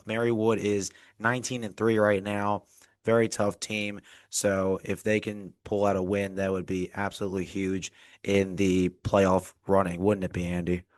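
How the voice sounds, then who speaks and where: A man with a cold talks through recent games on a sports podcast. His voice sits low and stays fairly level, and he lists the numbers in a steady, methodical way. The sound is dry and close. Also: no echo. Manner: reads off stats in an even, measured way. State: getting over a cold. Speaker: podcast co-host. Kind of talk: casual sports recap chat.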